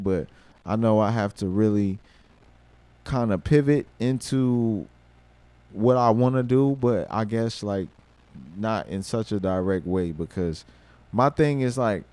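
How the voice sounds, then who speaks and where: A man in his thirties livestreaming, a rapper talking casually and swearing freely, mixing thoughtful musing with loud excitement. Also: mid-range voice, no echo. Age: 30s. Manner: reflective, then loud and excited with laughter and swearing.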